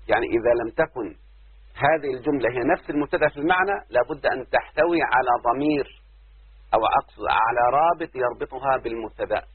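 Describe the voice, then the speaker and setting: A man with an Egyptian accent, a sheikh teaching Arabic grammar, explaining slowly and asking his listeners questions as he goes. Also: mid-range voice, slightly rough, no room sound. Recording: tinny phone-like line, dry.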